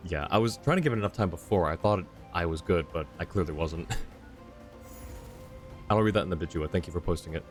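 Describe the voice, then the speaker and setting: A man's voice: low-pitched, fairly quick, long pauses. A man casually chatting while streaming. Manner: chatty, laughs often. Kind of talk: casual gameplay commentary. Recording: stream mic with game music.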